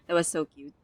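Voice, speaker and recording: A woman's voice: fairly low pitch, dark tone, no echo. A woman giving casual, playful commentary while playing through a game, in a drawling manner. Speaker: gameplay video maker. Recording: faint background noise.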